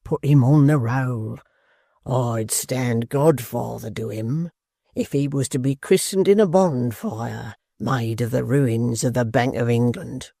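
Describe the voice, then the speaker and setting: A gravelly, wheezing old hangman with a sly, ghoulish relish in every word, done by a male narrator. He draws words out slowly, as if savouring them. The sound is dry and close. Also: mid-range voice, mellow, no echo. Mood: gleeful.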